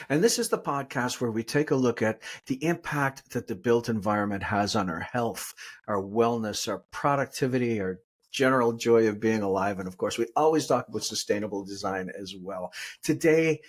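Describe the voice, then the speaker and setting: Upbeat husky podcast host. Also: male voice, medium pitch. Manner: upbeat, welcoming interviewer. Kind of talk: podcast hosting.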